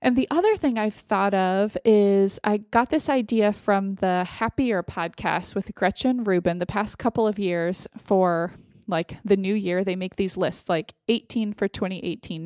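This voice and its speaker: A woman's voice: mid-range voice, a little mellow, no echo. Young female podcast co-host, mid-range voice, casual chat, dry sound.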